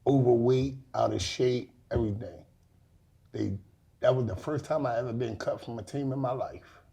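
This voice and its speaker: A man's voice: medium pitch, normal speed, vocal fry, dark tone. Blunt man in his forties telling his story. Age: 40s. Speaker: guest. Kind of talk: casual talk show interview. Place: studio set.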